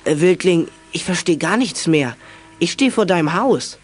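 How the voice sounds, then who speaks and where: A man's voice: sits high, animated, normal speed, background noise. A young male actor playing an eager teenage boy radio detective in a children's audio drama, speaking earnestly. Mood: earnest, relieved.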